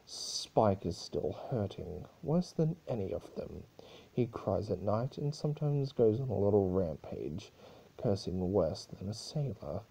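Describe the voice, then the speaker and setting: Man voicing a husky, mischievous male chaos spirit. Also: on the low side, warm tone, exaggerated voice, low-quality recording. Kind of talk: dramatic fan-fiction reading.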